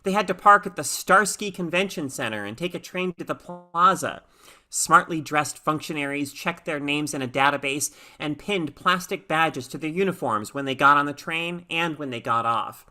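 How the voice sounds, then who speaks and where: A woman reading a short story aloud as an expressive, dramatic narrator. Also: sits low, moderate pace. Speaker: story reader.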